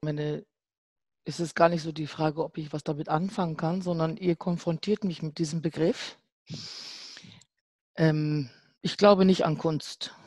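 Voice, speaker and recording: A middle-aged woman speaking as a panel guest, deliberate and sceptical, pausing between phrases. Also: sits low, steady tone, a little mellow, dry recording. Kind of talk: reflective panel discussion.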